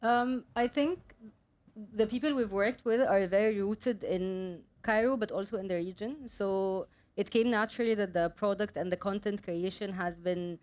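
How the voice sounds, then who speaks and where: A young woman from Egypt answers onstage questions about her design work. She speaks hesitantly, leaning on fillers and breaking into frequent laughter, her voice sitting at an even middle pitch. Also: normal speed. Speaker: designer and studio owner. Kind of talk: live onstage Q&A.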